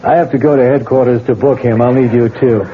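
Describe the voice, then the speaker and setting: An actor playing a calm, reassuring police lieutenant in a 1970s radio drama. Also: male voice, medium pitch, fast talker, a little hiss or hum, natural voice. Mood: friendly. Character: police lieutenant, steady and friendly. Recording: tinny vintage radio broadcast.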